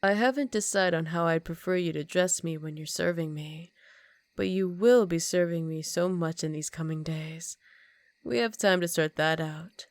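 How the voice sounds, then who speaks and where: A woman's voice: fairly low pitch, normal speed, dry recording, natural voice. A woman voicing a seductive, commanding vampire countess in an intimate ASMR roleplay, teasing and often dropping into whispers. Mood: commanding, smug.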